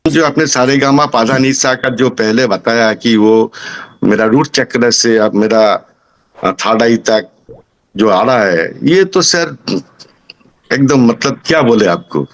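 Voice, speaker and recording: A man in his sixties from Kolkata with a Bengali accent, giving an informal testimonial as a student, halting as he searches for words. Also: medium pitch. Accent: Bengali-accented Hindi. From Kolkata, India. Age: 60s.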